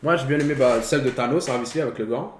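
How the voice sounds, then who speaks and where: A man's voice: medium pitch, quick pace. A man co-hosting a casual film talk show, speaking slangy peppered words. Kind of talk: casual chat about films. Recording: roomy echo, faint background noise.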